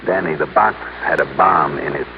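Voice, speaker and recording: An American actor playing a steady, insistent hard-boiled insurance investigator in a 1960s radio drama. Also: male voice, extremely low pitch, normal speed, vocal fry, dull audio. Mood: grave, probing. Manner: pointed, insistent questioning. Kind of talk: 1960s radio detective acting. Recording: old, band-limited, hissy radio recording.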